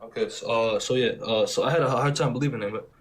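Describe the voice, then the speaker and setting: A man's voice: mid-range voice, racing, slightly rough. A young man from Syria, raised near Detroit, with a slight Arabic accent, calling in to a casual debate, rambling and repeating himself insistently. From born in Syria, raised in Detroit area. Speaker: caller.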